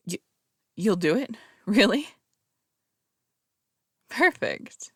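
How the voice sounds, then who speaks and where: A very creaky, dry, close female voice acted by a woman plays a flirtatious phantom thief in an audio roleplay. She speaks in theatrical, polished phrases, teasing and reassuring her listener, with small hesitant stammers. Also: lowish voice, dry recording, exaggerated voice. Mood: pleased.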